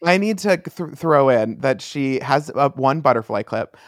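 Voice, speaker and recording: A man's voice: mid-range voice, expressive, a little slow, dry recording, clean studio sound. A man co-hosting a podcast, chatting casually with playful, theatrical opinions. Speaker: podcast co-host. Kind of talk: casual chat.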